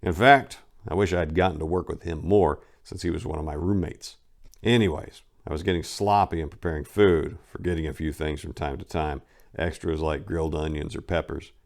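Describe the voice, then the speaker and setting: A man giving a dramatic reading of a first-person book, telling it conversationally and punching emphatic words before dropping low at phrase ends. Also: steady tone, a little fast, gravelly. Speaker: reader of a memoir.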